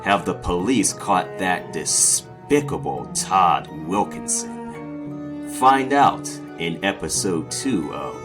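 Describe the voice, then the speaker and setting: A man's voice: low voice, big pitch swings, slow, heavily acted. A man playing a theatrical, sinister horror host who introduces a serial story with melodrama. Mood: ominous showmanship. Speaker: podcast host and narrator. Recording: music loud under the voice.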